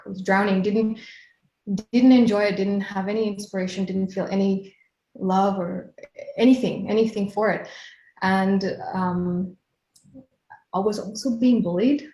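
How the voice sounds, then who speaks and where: A woman's voice: mid-range voice, steady tone, unhurried, a little mellow, roomy sound, low-quality recording. A woman from Kenya in her late thirties, a hypnotherapist chatting casually as an interview guest, laughing easily.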